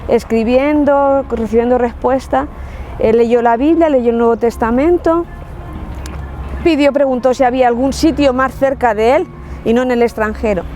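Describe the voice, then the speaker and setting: A woman's voice: a little high, moderate pace, mellow, faint music behind. A woman from La Mancha in Spain with a Spanish accent, telling her personal faith testimony in an earnest, reflective way with frequent restarts. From Spain, Ciudad Real. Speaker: testimony speaker.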